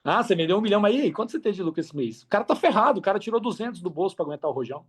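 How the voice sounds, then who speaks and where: A man's voice: high-pitched, lively intonation, racing, husky. A Brazilian man speaking casually and earnestly as a podcast guest, repeating phrases for emphasis. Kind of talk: casual interview talk.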